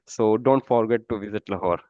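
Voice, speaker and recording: A Pakistani man whose first language is Punjabi, speaking English with a Pakistani accent, politely and haltingly with many "uh" fillers. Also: fairly low pitch, no room sound, dull audio. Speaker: developer practicing English. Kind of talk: casual conversation practice.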